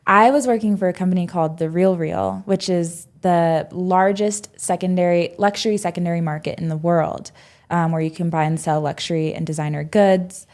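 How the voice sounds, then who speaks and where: A young woman in her twenties talks through her story as a podcast guest. Her voice sits a little low, and she speaks in a loose, reflective way, full of "um"s and "like"s. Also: steady tone, normal speed, darkish tone. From United States. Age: 20s. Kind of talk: casual podcast interview.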